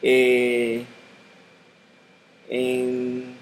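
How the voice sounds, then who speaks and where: A man's voice: medium pitch, monotone, extremely slow, a little hiss or hum. A man practicing a language as a student on a video call, hesitant and searching for words with many fillers. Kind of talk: halting practice conversation.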